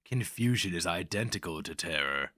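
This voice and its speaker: A man's voice: deep voice, lively intonation, moderate pace, pushed and bright, no room sound, studio quality, exaggerated voice. A man voicing a canny, mocking older slaver and mentor in a dramatic fantasy reading, needling and emphatic. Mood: wry instruction.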